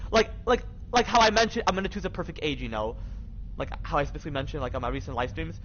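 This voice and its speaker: A man's voice: fairly high pitch, lively intonation, very fast, fairly bright tone. A young American man chatting casually on a game livestream in a rambling, repetitive, slangy way. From Michigan, United States. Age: young adult. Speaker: live streamer. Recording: dull lo-fi mic with faint noise.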